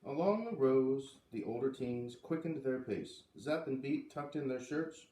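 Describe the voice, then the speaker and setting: A man's voice: mid-range voice, animated, unhurried, dark tone, recorded from a distance, slight background noise. A man reading a children's storybook aloud expressively, acting out the dialogue lightly. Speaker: reader of a children's book read-aloud series. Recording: roomy, voice away from the microphone.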